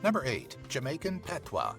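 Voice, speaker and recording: Animated male list-show narrator over music. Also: mid-range voice, normal speed, creaky. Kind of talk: scripted top-ten list narration. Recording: music bed behind the voice. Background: background music.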